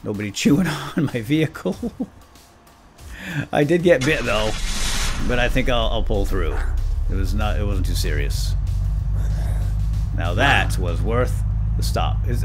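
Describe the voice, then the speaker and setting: A man doing casual, playful let's play commentary, talking to himself and his viewers with exclamations. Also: mid-range voice. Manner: playful, self-chatting, exclaiming. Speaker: host of a gaming series.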